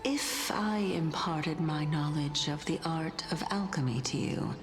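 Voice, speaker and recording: A composed, skeptical scholar from a video game, in a low voice. The delivery is slow, formal and reserved, each thought measured out. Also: female voice. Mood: thoughtful, probing. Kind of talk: scripted game dialogue acting.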